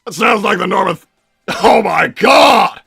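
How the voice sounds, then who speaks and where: A man voicing a bombastic middle-aged mad-scientist villain and doting father, with a raspy, gravelly voice and a theatrical, blustering manner, improvising on a livestream. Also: high-pitched, animated, unhurried, bright, forceful tone, raised voice, soft background music, heavily acted. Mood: blustering, bemused. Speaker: livestream host in character. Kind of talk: improvised in-character livestream Q&A, reading out viewer messages.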